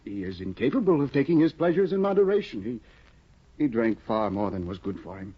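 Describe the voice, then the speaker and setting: An actor playing an excitable, fussy young scholar husband in a 1950 radio drama, flustered and exclaiming, on a dull old broadcast recording. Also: male voice, medium pitch, brisk, husky. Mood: fretful. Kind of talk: 1950s radio drama acting.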